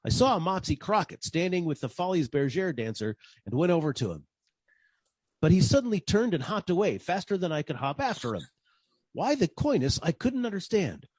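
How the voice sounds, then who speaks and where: Male actor as hard-boiled detective, wry, sardonic, husky, lively intonation, dry sound, 1940s radio style. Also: high-pitched, normal speed, no room sound, exaggerated voice. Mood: wry narration.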